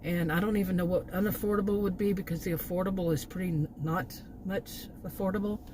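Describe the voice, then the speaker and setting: Female, American, lowish voice, exasperated off-the-cuff vlog rant, noisy background. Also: steady tone, quick pace. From Texas, United States. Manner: exasperated, chuckles between remarks. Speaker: food delivery gig worker, vlogger. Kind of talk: casual rambling vlog rant.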